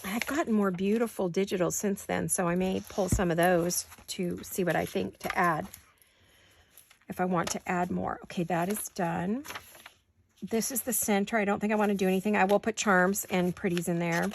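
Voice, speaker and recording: A woman with a sore throat chatting casually to viewers while she crafts at home, thinking out loud as she works. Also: mid-range voice, fairly even intonation, moderate pace, gravelly, slight background noise. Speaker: craft vlogger. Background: scissors and crafting clicks.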